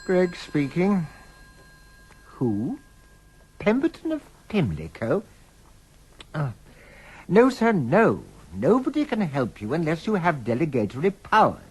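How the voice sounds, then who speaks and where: A harried English government official in a radio comedy. His voice is rather high and husky, swooping up and down as he fusses through officious bureaucratic phrases. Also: wildly swooping pitch, slow pace, long pauses, darkish tone, exaggerated voice, rough recording. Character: harried, fussy Home Office civil servant. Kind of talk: British comedy audio drama acting.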